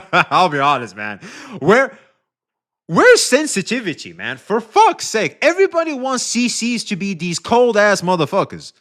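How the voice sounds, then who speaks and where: A male streamer giving animated, profane commentary, speaking straight to his chat. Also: high-pitched, unhurried.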